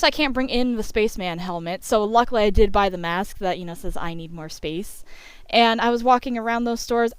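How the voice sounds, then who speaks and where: A woman's voice: on the high side, clean tone, no room sound. A young woman telling a casual, chatty story in a giddy way, acting out what people said. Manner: giddy, animated retelling with acted-out quotes. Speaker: storyteller narrating over a speedpaint video.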